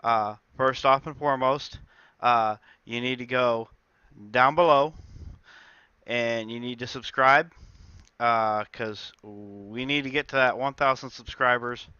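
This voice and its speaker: A man's voice: mid-range voice, slow pace, sharp tone, smooth, dry recording. Man, game developer, stuffy cold, casual chatty diary, dry close sound, slightly dull recording. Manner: chatty, with many uh fillers. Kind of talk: casual dev diary talk.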